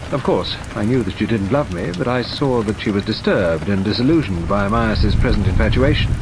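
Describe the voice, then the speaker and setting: A man's voice: lowish voice, natural voice. A man voicing a bitter, proud middle-aged Englishman making a resentful confession. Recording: steady rain noise behind.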